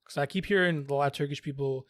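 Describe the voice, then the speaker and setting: A man's voice: fairly high pitch, fast talker, no room sound. A young man with a slightly raised voice asks questions on a podcast, trailing off as he searches for words. The sound is dry and close. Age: young adult. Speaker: podcast co-host. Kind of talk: casual chat, asking questions.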